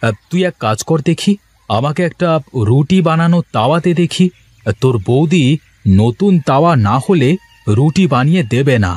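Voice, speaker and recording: A man's voice: a little high, expressive, normal speed, darkish tone. A man voicing a hearty, familiar village farmer, an elder-brother type, in a moral-story cartoon with folksy talk. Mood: friendly.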